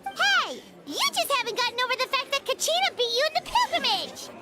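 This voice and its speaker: A tiny floating fairy girl from a video game. Her voice is extremely high and squeaky, with wildly lively intonation. She is childlike, blunt and excitable, with music behind her. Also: fairly quick. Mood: indignant. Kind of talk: English video game voice acting.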